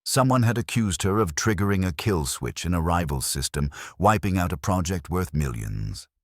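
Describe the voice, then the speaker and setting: Very deep-voiced man narrating detective fiction. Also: animated, normal speed, no echo, studio quality. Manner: wry, wisecracking. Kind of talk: scripted first-person detective audiobook narration.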